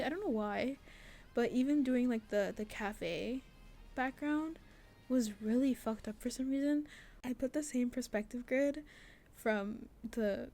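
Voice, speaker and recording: High-voiced young woman, casual devlog narration. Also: mellow. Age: young adult. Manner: self-deprecating, frequent "like", giggly. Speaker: artist and game maker narrating a devlog. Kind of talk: casual rambling voice-over commentary on own artwork.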